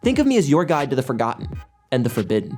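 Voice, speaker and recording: An actor playing a brash, cocky urban-exploring YouTuber, delivering showy, hyped video intros in an audio drama. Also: male voice, medium pitch, wildly swooping pitch, racing, a little mellow, faint music behind. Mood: grandiose.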